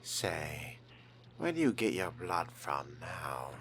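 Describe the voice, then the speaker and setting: Low-voiced man musing slowly in character. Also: sing-song. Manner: deliberate, musing, formal wording, often hushed. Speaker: game player role-playing a vampire character. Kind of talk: in-character roleplay narration of game playthrough.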